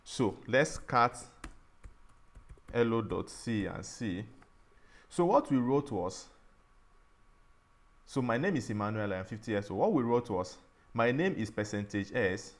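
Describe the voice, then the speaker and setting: A man teaching a programming tutorial, explaining each step slowly and often restarting and saying "all right". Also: mid-range voice, lively intonation. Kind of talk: screen-recorded coding tutorial.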